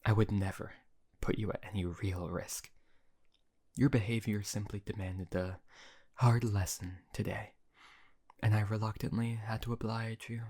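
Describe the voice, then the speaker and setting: A man's voice: lowish voice, fairly even intonation, measured pace, darkish tone. A man playing a possessive, cruel yet doting male emperor in an audio roleplay, speaking in a silky, condescending way that often drops to a whisper. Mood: gloating.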